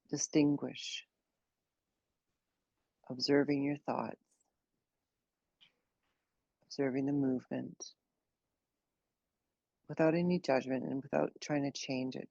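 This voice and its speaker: A woman leading a guided meditation, speaking slowly and gently in an unhurried way with long pauses. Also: rumbling low, vocal fry, dry recording, muffled recording. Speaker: meditation teacher.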